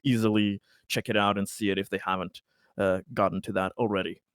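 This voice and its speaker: Low-voiced man playing a tabletop game. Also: level tone, clean tone, no echo, clean studio sound. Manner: hesitant, pausing and repeating words. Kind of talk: casual roleplaying game talk.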